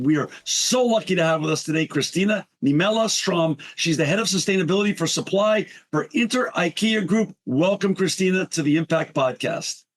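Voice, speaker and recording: A man's voice: fairly high pitch, no echo. Enthusiastic man hosting a podcast. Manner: enthusiastic, effusive. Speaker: podcast host. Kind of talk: podcast hosting and read ads.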